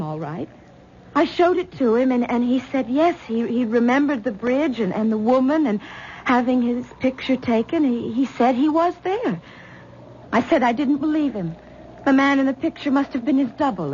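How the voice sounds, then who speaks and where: A frightened young wife who trips over her words, voiced by an actress with a fairly high voice. The recording is muffled and carries a light hiss, like an old radio broadcast. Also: a little mellow, lightly acted. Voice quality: stammering, nervous. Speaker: troubled wife. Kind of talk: 1970s radio drama acting.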